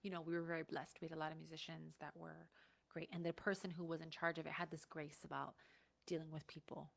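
A woman in her thirties, co-hosting a casual chat in a relaxed, soft-spoken way, on a boom mic in a small studio. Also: quick pace, vocal fry. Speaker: podcast co-host. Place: small indoor studio set. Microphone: boom-mounted studio mic.

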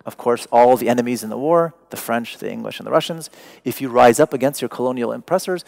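A man whose mother tongue is Persian gives a conversational public lecture in English from a stage, searching for words and stressing them deliberately. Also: mid-range voice, vocal fry, a little mellow. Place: large dim hall with stage and seated audience.

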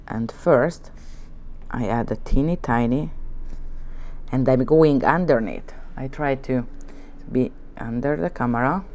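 A woman with a Hungarian accent, a native Hungarian speaker, giving a relaxed nail art tutorial at her studio desk, talking in gentle, coaxing instructions and often checking in with "okay?". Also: extremely low pitch. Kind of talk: relaxed tutorial narration while working. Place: indoor nail studio desk, hands on camera from above.

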